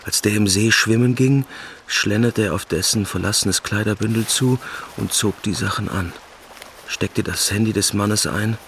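A man's voice: lowish voice, steady tone, moderate pace, a bit bright, slight background noise. A man narrating a radio drama in a scripted storytelling style.

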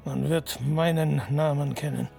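A man's voice: a little high, steady tone, a little slow, creaky, dark tone, faint music behind. An actor playing an anxious, vain Persian king in an intimate radio drama, murmuring. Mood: proud, wistful. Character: anxious, restless Persian king, vain about his name.

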